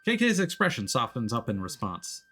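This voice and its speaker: A man's voice: medium pitch, wildly swooping pitch, moderate pace, sharp tone, soft background music. A man streaming a game and reading its story aloud as narration, sometimes stumbling and correcting himself.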